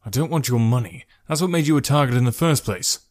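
A man's voice: medium pitch, lively intonation, quick pace, darkish tone, lightly acted. Stern, curt archer hero, read by a man. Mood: scornful. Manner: commanding, curt, dry. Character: stern, self-assured male archer, curt and scornful.

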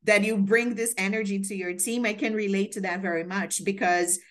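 A woman's voice: medium pitch, fairly even intonation, normal speed. A Brazilian woman, Portuguese her first language, hosting a podcast interview in chatty, filler-heavy conversation. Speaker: podcast host. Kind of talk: casual interview chat.

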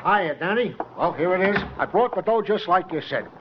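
A chipper, naive police flunky. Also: male voice, high voice, animated, normal speed, noisy background. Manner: chipper, startled exclamations. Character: cheery, naive police flunky, easily surprised. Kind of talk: 1940s radio acting. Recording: dull lo-fi old radio recording.

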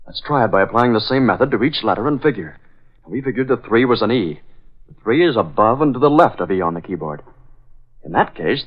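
An American actor playing a decisive boss and secret masked crime-fighter, crisp, deliberate and melodramatic in 1940s radio style. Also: male voice, fairly low pitch. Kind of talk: 1940s radio drama acting. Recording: dull, band-limited old radio recording.

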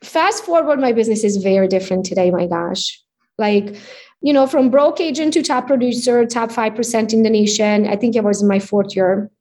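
Upbeat Hungarian-accented woman, higher voice. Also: a little fast. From Romania. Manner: upbeat, animated storytelling with tag questions. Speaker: real estate agent guest. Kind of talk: casual interview chat.

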